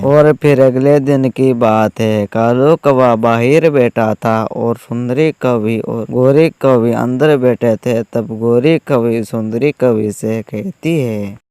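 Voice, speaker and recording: Male narrator for children's moral tales: crisp, resonant, unhurried, dry recording. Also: mid-range voice, mellow, no room sound. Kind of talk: scripted children's story narration.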